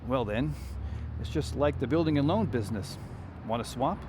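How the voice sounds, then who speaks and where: A man's voice: medium pitch, expressive, normal speed, natural voice. An actor playing a restless, kindhearted young man in a radio drama, with a hesitant, folksy way of talking. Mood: kindly, concerned. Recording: faint background noise.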